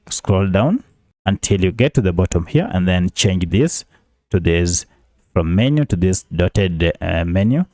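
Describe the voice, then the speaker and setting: A man's voice: low voice, darkish tone, no echo, clean studio sound. A man hosting an app tutorial, giving polite step-by-step instructions. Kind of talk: screen-recording tutorial narration.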